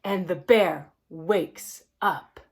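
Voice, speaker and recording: A woman reading a picture book aloud to children, expressive, with gasps and chatty asides. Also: lowish voice, extremely slow, breathy edge. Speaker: storybook reader.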